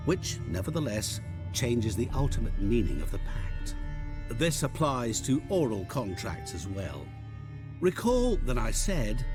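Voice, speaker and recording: An actor playing a genial, sinister demon posing as a merchant, courteous and quietly menacing in a video game cutscene. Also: male voice, medium pitch. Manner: speaks in slow, sing-song riddles. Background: orchestral game music.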